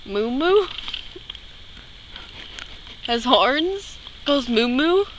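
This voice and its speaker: A woman plays a silly male explorer in a homemade comedy skit. The voice is high, animated and playful, with a friendly goofy streak. Clear noise runs through the recording. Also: drawn-out words, full character voice. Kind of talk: amateur improvised comic skit.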